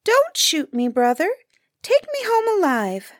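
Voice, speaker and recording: A woman's voice: extremely high pitch, huge pitch swings, a little slow, dry recording, clean studio sound, voice pushed up, exaggerated voice. A woman voicing an earnest, mysterious talking grouse that pleads in a storybook tale. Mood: pleading. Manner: pleading, entreating.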